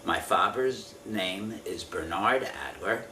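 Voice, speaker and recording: A man in his fifties answering an oral history interview from memory, speaking in a halting, careful, measured way. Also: deep voice, lively intonation, bright, forceful tone. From Israel. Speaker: interviewee giving family testimony. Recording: roomy, distant mic with faint noise.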